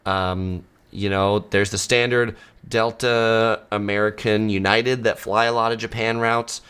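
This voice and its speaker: Relaxed low-voiced man answering questions. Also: a little slow, clear, resonant tone. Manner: relaxed, conversational, many ums and uhs. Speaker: travel channel host answering viewer questions. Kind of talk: casual live Q&A. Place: home studio.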